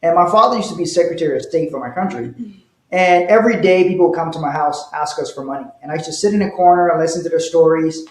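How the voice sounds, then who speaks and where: High-voiced man in a roomy echo. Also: fast, a little mellow. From Liberia. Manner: laughs while telling stories. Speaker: guest, wealth manager. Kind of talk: casual interview storytelling.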